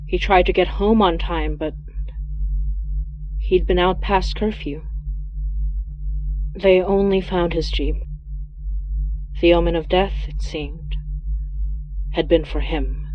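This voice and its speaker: A woman's voice: fairly low pitch, takes it slowly, long pauses, highs cut off. A woman playing a weary, anxious young woman, speaking in a hesitant, confiding way in an intimate audio drama. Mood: somber, reflective.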